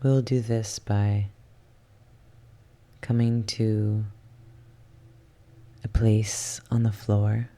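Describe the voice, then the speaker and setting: A soft, hushed voice guiding a yoga nidra relaxation in ASMR style, soothing and unhurried, slipping at times into a whisper. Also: medium pitch, flat delivery. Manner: soothing, unhurried, with long pauses. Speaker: guided relaxation instructor.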